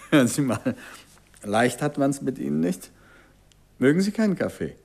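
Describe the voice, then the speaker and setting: A urbane gentleman detective from a 1950s radio play. His voice is husky and swings expressively in pitch. He is courteous and calmly insistent. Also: normal speed. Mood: courteous, persuasive. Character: suave, calmly insistent male detective novelist and amateur sleuth. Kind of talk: 1950s German radio drama acting.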